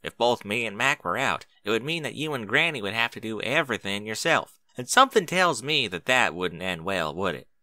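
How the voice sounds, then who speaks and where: A man's voice: lowish voice, big pitch swings, a little fast, hardly any pauses, bright, forceful tone, full character voice. A man voicing a practical, no-nonsense farm mare, the bossy big sister in an audiobook story. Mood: patient, correcting.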